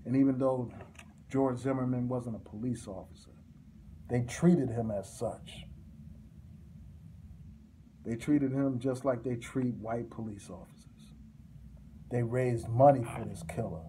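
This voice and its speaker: A middle-aged man delivering an impassioned political monologue to a phone camera in a small room, forceful and repetitive, with a preaching cadence. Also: medium pitch, fairly even intonation, measured pace, pauses often, a little hiss or hum. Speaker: commentator.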